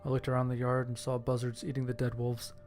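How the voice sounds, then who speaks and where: A man reading scripted first-person horror fiction as its narrator, with a gravelly voice. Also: medium pitch, monotone, quick pace, a little mellow, soft background music.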